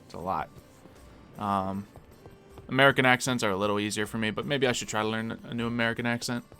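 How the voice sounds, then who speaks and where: A man's voice: fairly low pitch, fairly even intonation, fast, pushed and bright, faint music behind. A man with a slight Philadelphia accent chatting casually on a live stream while he plays, musing aloud and laughing easily.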